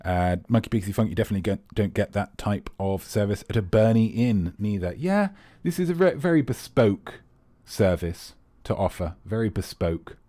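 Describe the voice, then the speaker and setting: A man's voice: fairly low pitch, animated, normal speed, few pauses, warm tone, dry recording. A man hosting a live comedy quiz, rambling and playful with teasing asides to the players. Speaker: quiz host.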